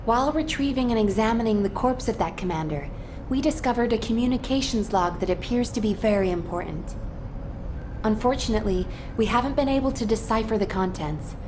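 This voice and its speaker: A woman playing a composed, authoritative military commander giving formal, deliberate briefings in a video game. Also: on the high side, expressive, background noise, close to an everyday voice. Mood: serious. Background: music.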